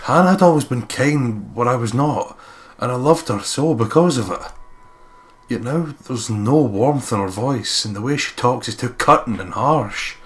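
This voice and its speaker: A man's voice: medium pitch, lively intonation, moderate pace. A man narrating a visual novel aloud as a let's player, reading expressively. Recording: faint background noise.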